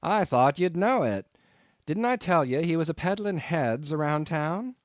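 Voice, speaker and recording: A man's voice: on the high side, expressive, unhurried, voice pushed up. A man playing an easygoing, amused innkeeper in a storybook, talking in a folksy, reassuring way. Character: amused easygoing male innkeeper in whaling town.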